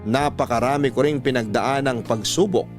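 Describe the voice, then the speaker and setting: A man narrates a radio love story in a dramatic, questioning teaser style, his pitch mid-range. Faint music plays beneath his voice. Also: normal speed. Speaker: narrator and host.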